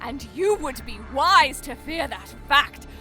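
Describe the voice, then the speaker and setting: Shrill, wrathful dark sorceress. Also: female voice, animated, slow, soft background music, exaggerated voice. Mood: wrathful, scornful. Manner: hissing, scornful, commanding. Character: wrathful, imperious dark sorceress commanding elf warriors.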